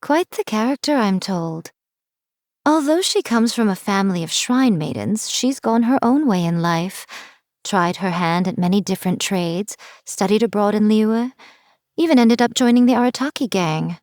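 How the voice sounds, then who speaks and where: Actress playing a teasing fox-spirit shrine priestess, game character, wry and playful, mid-pitched, dry booth sound. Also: female voice, lively intonation, normal speed, a little mellow, no echo, close to an everyday voice. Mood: sly. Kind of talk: scripted game voice lines.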